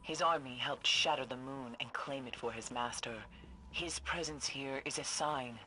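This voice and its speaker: A woman's voice: a bass voice, normal speed, rough recording. An actress playing a grave, haunted female survivor, giving solemn and foreboding briefings in a video game. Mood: ominous, commanding. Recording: loud noise behind the voice.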